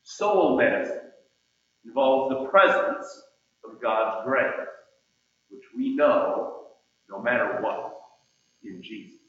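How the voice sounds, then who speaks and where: A man delivering a sermon in measured, emphatic phrases with deliberate pauses, reading out scripture and quotations as he goes. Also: mid-range voice, animated. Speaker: preacher. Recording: muffled, very echoey hall with long reverb.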